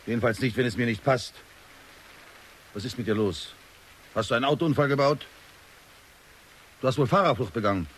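A man's voice: medium pitch, moderate pace, long pauses, a little hiss or hum, close to an everyday voice. An actor playing a bitter, exasperated middle-aged father who is easily enraged, in a German radio drama. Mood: irritated, suspicious. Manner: exasperated, scolding, brooding.

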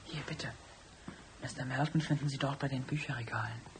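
An actor playing a discreet club page in a radio drama, speaking in a hushed, polite and servile manner. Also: male voice, mid-range voice, fairly even intonation, brisk, noisy background, close to an everyday voice. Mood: hushed, deferential.

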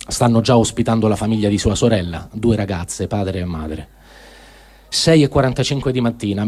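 A man reads a first-person diary in speech, in measured, deliberate phrases, as a dramatic radio reading. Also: mid-range voice, a little mellow, breathy edge. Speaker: reader of written testimony on radio.

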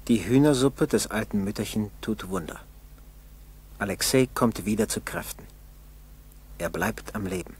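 A male narrator of a vintage radio drama, low and gravelly. He reads in short, grave, measured sentences with a breathy, husky edge. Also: animated, a little mellow.

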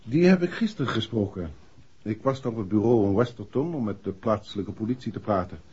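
An actor playing a matter-of-fact, precise police inspector in a 1960s Dutch radio play. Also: male voice, fairly low pitch, animated, fairly quick, darkish tone, husky. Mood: businesslike. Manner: businesslike, precise. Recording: dull, muffled vintage radio recording.

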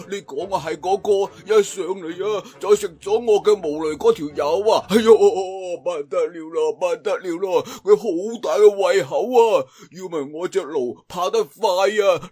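Eccentric high-pitched old Cantonese trickster. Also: male voice, unhurried, hardly any pauses, darkish tone. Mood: clownish excitement. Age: older. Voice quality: weird affected old-man voice. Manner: exclaiming, rambling, mock-panicked, chuckling. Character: eccentric cackling skinny old trickster man, lecherous and playful.

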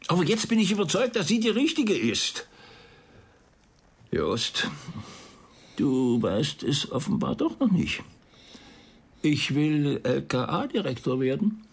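A wily senior police chief in a radio crime drama. The voice is very raspy and breathy, with animated intonation. He speaks brusquely and with sardonic authority. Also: fairly high pitch, takes it slowly, pauses often, heavily acted. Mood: earnest.

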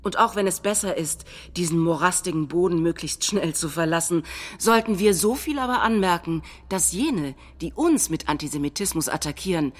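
A woman delivers scripted political quotations as a stage reading. Her voice sits somewhat low, and her delivery is measured and declamatory, like documentary theatre. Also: expressive.

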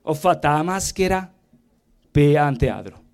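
A man with a Roman accent performing theatrical comic storytelling in an expressive, colloquial, declamatory way. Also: a little high, a little slow. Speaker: stage performer, monologist and singer. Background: plucked guitar.

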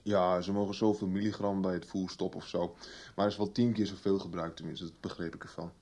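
A man's voice: low voice, flat delivery, fast talker, a little mellow. An actor playing a young policeman son, talking colloquially in a Dutch radio soap. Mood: eager storytelling. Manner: colloquial, chatty. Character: young policeman son recounting his case to his mother.

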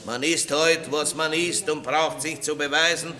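An actor playing a boorish, cowardly, self-important baron in a radio play, with a Viennese accent and a theatrical manner. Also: male voice, on the high side, fairly even intonation, measured pace, pushed and bright. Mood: pompous, condescending. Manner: pompous, blustering, theatrical. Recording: roomy 1960s radio play studio.

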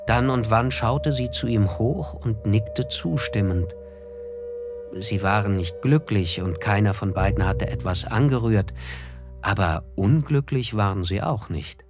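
An actor playing a reflective, observant first-person narrator in a radio drama, measured, sober and quietly disillusioned. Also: male voice, on the low side, music in the background.

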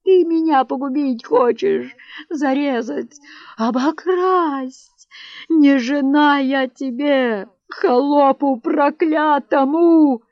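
A woman's voice: fairly low pitch, expressive, normal speed, hardly any pauses, no room sound, lo-fi sound, close to an everyday voice. A woman voicing a furious middle-aged landowner's wife who shrieks and wails reproaches in an audiobook reading. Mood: shrieking. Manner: screeching, wailing reproaches.

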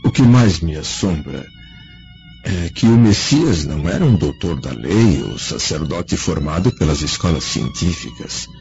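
An actor playing a remorseful aging Roman senator in a radio drama, solemn and declamatory, with a husky voice. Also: male voice, sits low, huge pitch swings, measured pace, darkish tone, heavily acted. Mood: solemn wonder. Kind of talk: Brazilian radio drama acting. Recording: dull lo-fi radio drama recording, music.

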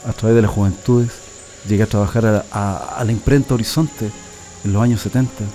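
A man's voice: lowish voice, steady tone, a little fast, dark tone, soft background music, natural voice. An actor playing a proud, nostalgic political prisoner in a radio drama, telling his story reflectively with a Chilean accent. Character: male political prisoner, proud nostalgic communist militant, immigrant's son.

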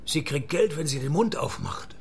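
Gravelly, deadpan private detective. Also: male voice, fairly high pitch, normal speed, slight background noise. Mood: sardonic. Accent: Swiss. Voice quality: gravelly, husky. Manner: deadpan, laconic, sardonic. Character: dry, world-weary male private detective. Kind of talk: radio play dialogue and first-person narration.

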